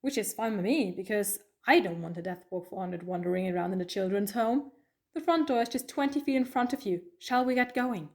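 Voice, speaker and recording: Clever, coaxing heroine female performer, mid-pitched, conversational and teasing, for an audio drama about an alien traveller. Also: brisk, close to an everyday voice. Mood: firm, urgent. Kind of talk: fan audio drama acting.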